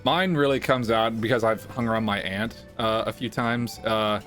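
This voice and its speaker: A man from southern Louisiana with a Southern accent, hosting a casual stream chat and telling stories in a relaxed, swearing, laughing way. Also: lowish voice, normal speed. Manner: laid-back storytelling with profanity and laughs. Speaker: streamer host. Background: faint background music.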